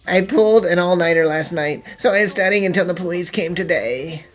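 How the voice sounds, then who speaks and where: A woman voicing a fearful, nervous young student witness in an animated fan dub. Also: lowish voice, a little fast, lightly acted. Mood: explaining. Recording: music under the voice.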